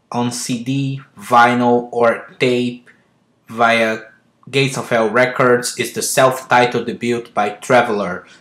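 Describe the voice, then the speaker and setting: A man's voice: mid-range voice, fairly bright tone. Man hosting a podcast, roomy sound. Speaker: podcast host. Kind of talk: casual interview.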